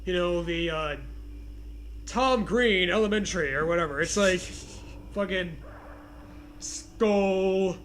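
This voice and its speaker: A man with a high, sharp voice riffs casually as a comedy co-host. He is sarcastic and swears freely, with some noise behind him. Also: a little slow, long pauses. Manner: sarcastic, profane. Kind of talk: casual joking banter.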